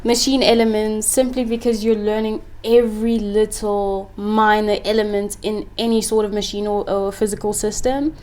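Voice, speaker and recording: A woman's voice: medium pitch, level tone, moderate pace, few pauses, darkish tone. A young woman from Congo whose first language is French, speaking candidly as an interview guest and laughing often. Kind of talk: casual interview chat.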